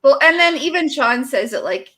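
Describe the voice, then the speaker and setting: A young woman hosts a relaxed live chat and talks in a loose, chatty way, with plenty of fillers and laughs. Her voice sits a little higher than most. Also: moderate pace. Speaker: podcast host. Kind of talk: casual live-stream chat.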